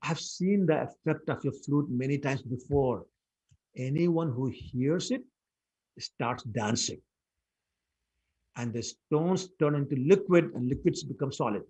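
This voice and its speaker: A man voicing a playful, boastful boy who teases and gets excitable. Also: medium pitch, a little slow, husky. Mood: alarmed. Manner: teasing, excitable.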